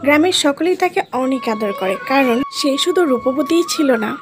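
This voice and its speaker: High-voiced woman storyteller. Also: expressive, a little fast, background noise. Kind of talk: scripted children's story narration.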